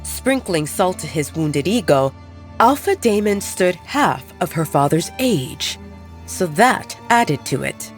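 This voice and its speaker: A woman narrating a scripted story as an audiobook, with expressive, dramatic delivery. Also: on the low side, takes it slowly, faint music behind.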